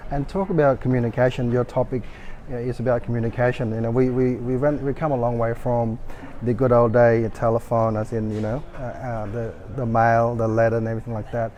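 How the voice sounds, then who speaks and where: A man hosting an event interview, eager and halting, with frequent restarts and fillers. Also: mid-range voice, level tone, dark tone, slight background noise.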